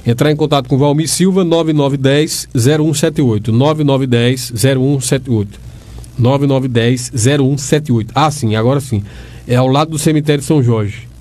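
A man's voice: mid-range voice, normal speed, a little mellow. A man co-hosting a radio show, chatting casually and reading out a listener's notice. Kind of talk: casual chat.

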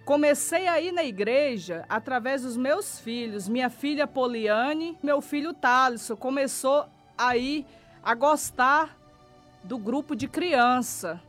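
A middle-aged Brazilian woman from São Paulo state speaks in a high voice over background music. She gives a personal church testimony, earnest and emphatic, repeating phrases for stress and addressing the listener directly. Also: slow pace. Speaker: church member giving testimony.

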